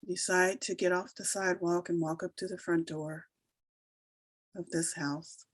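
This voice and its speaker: A woman leading a guided meditation, giving soothing, unhurried instructions with long pauses between phrases. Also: fairly low pitch, fairly even intonation, no room sound. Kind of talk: slow guided meditation narration.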